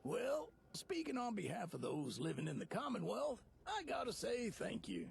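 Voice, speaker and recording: A grateful male settler from a post-apocalyptic game. He sounds high-pitched, heavily gravelly and raspy, with a folksy, earnest way of talking. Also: very animated, moderate pace, full character voice. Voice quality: gravelly, rough.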